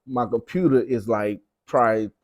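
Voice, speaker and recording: Young male rapper as interview guest. Southern US accent, mellow tone, dry close sound, slangy and repetitive for emphasis. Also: mid-range voice, moderate pace, no echo. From Arkansas, United States. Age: young adult. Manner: slangy, repeats phrases for emphasis. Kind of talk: casual interview talk.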